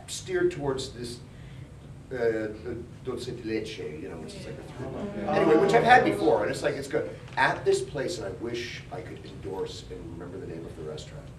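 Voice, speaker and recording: Canadian guy telling funny stories on a panel, voice swings around, roomy distant sound. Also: mid-range voice. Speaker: voice actor on a convention panel. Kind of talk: humorous anecdote, casual chat. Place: convention panel room, indoors, far camera. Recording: echoey distant room recording with crowd noise.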